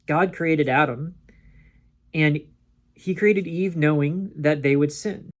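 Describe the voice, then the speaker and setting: A man's voice: fairly high pitch, takes it slowly. A man giving a solo Bible teaching talk, reading verses aloud and explaining them with everyday examples. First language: English.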